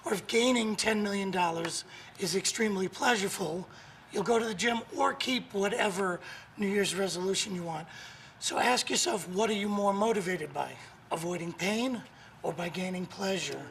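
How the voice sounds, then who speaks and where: A hoarse man with laryngitis, a guest author and coach talking in an upbeat, emphatic, motivational way on a morning show. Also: sits high, normal speed, a bit bright, a little hiss or hum.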